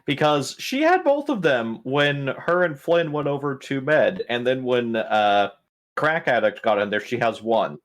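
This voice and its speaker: A man's voice: mid-range voice, big pitch swings, a little slow. A man chatting casually as a tabletop game player, blunt and sardonic, swearing freely. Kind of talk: casual out-of-character game discussion.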